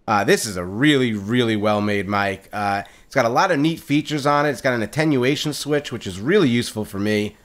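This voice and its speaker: A man hosting a gaming video, talking in a casual, upbeat way with a loud voice and lots of fillers, recorded on a condenser mic at home. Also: mid-range voice, fairly quick, few pauses. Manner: upbeat, chatty, frequent fillers and repeated words. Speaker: gaming channel host. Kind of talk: casual solo gameplay commentary. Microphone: condenser mic on a boom stand.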